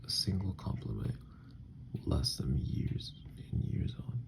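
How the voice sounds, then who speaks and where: A man, an ASMR voice actor, talking softly and personally to his listeners with a gravelly voice. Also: sing-song, unhurried. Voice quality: gravelly, very low. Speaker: ASMR voice actor addressing viewers. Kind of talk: soft, personal sign-off to listeners.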